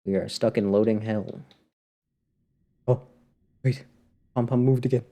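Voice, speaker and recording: A man's voice: lowish voice, fairly even intonation, a little slow, dark tone. A man giving casual live commentary on a video game, talking to himself and his viewers in a wry, sarcastic way. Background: game music.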